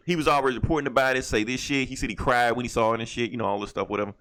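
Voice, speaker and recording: A man's voice: medium pitch, steady tone, racing, a bit bright. A man co-hosting a casual podcast about comics and movies, talking in an emphatic, opinionated way with plenty of swearing. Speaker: podcast co-host.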